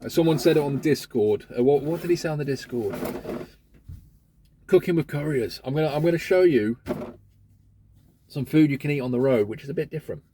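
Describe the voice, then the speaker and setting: Man with a mellow tone, chatty vlogger grumbling about his day with casual swearing. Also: a little high, animated, fairly quick, pauses often, slight background noise. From England. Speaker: van courier vlogger. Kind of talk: casual vlog monologue.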